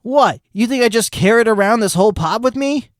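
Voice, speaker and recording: A man voicing a hyperactive, boastful sloth fitness instructor in a fan fiction reading, peppy and shouty. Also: extremely high pitch, expressive, fairly quick, dry recording, studio quality, voice pushed up. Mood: boastful, energetic.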